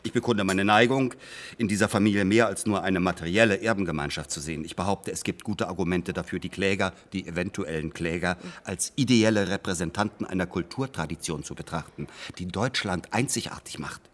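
An actor playing a pompous, irritable lawyer in a radio play, speaking emphatically and rhetorically. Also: male voice, deep voice, fairly quick. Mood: exasperated. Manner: emphatic, rhetorical, easily exasperated. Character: pompous, irritable male lawyer praising national tradition. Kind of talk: naturalistic radio play dialogue.

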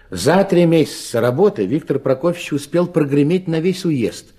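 A man reads literary narration in a dark, slightly husky voice. His intonation is very varied and carries a dry, wry irony. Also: fairly high pitch.

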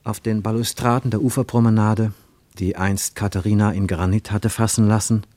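A man narrating a literary radio play with measured, deliberate phrasing and dramatic pauses. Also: on the low side, flat delivery, mellow, dry recording.

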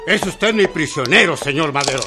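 An actor playing a hard, treacherous army general, curt and commanding in a radio drama. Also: male voice, fairly high pitch, lively intonation, brisk, music in the background, close to an everyday voice. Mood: cold, commanding.

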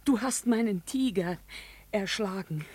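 A gentle, devout mother in an old German radio drama. She speaks very slowly and earnestly, with a husky softness that can sink toward a whisper. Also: medium pitch, dry recording. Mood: mournful pleading. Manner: slow, solemn, earnest, at times whispering. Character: gentle, devout mother of a menagerie family, pleading. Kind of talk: 1950s German radio play acting.

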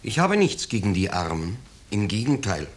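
A man's voice: on the low side, big pitch swings, normal speed. An actor playing a pompous middle-aged businessman, fussily polite and self-righteous, in a 1950s German radio drama. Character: pompous middle-aged bourgeois businessman, flustered, falsely genial host. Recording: noisy, clicking 1950s radio recording.

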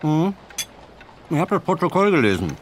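A man's voice: on the high side, big pitch swings, normal speed, slight background noise. A man playing a genial but probing detective chief in a radio drama, speaking with clipped authority. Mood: probing, authoritative. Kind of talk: German radio drama acting.

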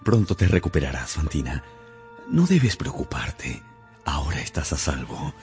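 An actor playing a magnanimous, guarded mayor in a radio drama, with a gentle, measured, paternal manner. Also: male voice, very deep voice, big pitch swings, faint music behind, full character voice. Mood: protective, reassuring. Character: magnanimous, guarded town mayor with a hidden past.

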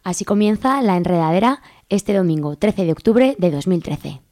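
A woman's voice: fairly low pitch, expressive, normal speed, mellow, no room sound, studio quality. A woman with a Spanish accent from Spain, presenting a radio programme as its host. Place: radio studio.

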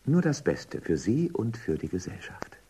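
A stern dystopian official with a very deep, rough-edged voice. He speaks with animated, swinging intonation. His manner is officious and accusing, in radio drama style. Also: normal speed, exaggerated voice. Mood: cool, official. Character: stern bureaucratic male interrogator in a dystopian society. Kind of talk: German radio drama acting.

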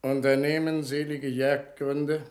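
An actor playing a brisk, callous world president in a radio play. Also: male voice, medium pitch, little pitch movement, a little mellow, lightly acted. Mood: callous, gleeful. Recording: echoey room, old radio drama.

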